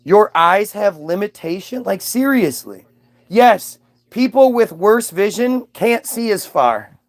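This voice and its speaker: A man's voice: high-pitched, expressive, takes it slowly, dry recording. A man hosting a livestream, arguing heatedly with viewers in a mocking, combative way, swearing and restarting his sentences.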